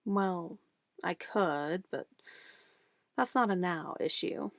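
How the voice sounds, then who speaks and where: A woman playing a sweetly menacing, possessive witch in an ASMR roleplay, cooing and coaxing condescendingly in a soft voice. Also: fairly low pitch, takes it slowly, creaky, no room sound, studio quality, exaggerated voice. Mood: smug, calmly menacing. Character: possessive young-sounding witch, sweetly menacing, doting on her familiar.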